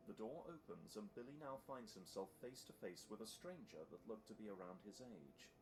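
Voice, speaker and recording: A man's voice: lowish voice, expressive, normal speed, noisy background, lightly acted. A man reading scripted narration for an audio drama as its storyteller.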